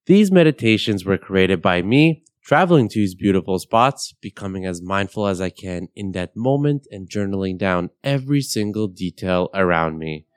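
A young man from Bulgaria hosting a podcast, guiding a meditation in a calm, measured, soothing way. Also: sits low, clear, resonant tone, no echo, clean studio sound. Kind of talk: guided meditation narration. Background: soft guitar music.

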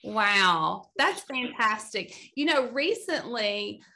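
Bright Southern woman interviewer. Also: a little high, animated, slow pace. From Mississippi. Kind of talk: casual interview chat.

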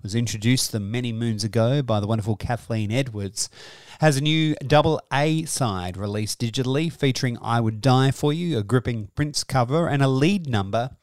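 A man hosting a music podcast in a measured, earnest way, presenting scripted news in wordy phrases on a close microphone. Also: medium pitch, a little mellow, dry recording. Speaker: podcast host.

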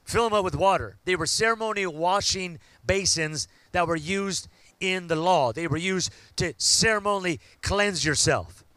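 A man, preaching a sermon and building to loud, fervent call-outs to the congregation. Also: high-pitched, moderate pace, dry recording. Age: 50s. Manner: preaching with rising shouts and call-outs to the crowd. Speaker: pastor.

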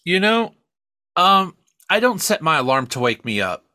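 A man with a bright, fairly high voice that swings widely in pitch. He talks as a podcast co-host, bantering casually and rambling with plenty of swearing. The sound is close and dry. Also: very animated, moderate pace, no echo.

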